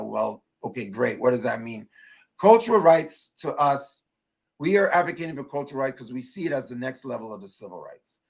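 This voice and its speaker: A man speaking as a panelist in an impassioned, explanatory way, stressing points and often checking in with the listener. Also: mid-range voice. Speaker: panelist, engineer and cultural rights advocate. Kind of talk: impassioned advocacy talk at a virtual panel.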